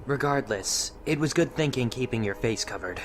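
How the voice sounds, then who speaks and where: A man's voice: mid-range voice, animated, moderate pace, natural voice. Man acting a cautious, resentful conspirator. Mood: measured. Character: resentful, cautious young male conspirator, the slighted one. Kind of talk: amateur audio drama acting.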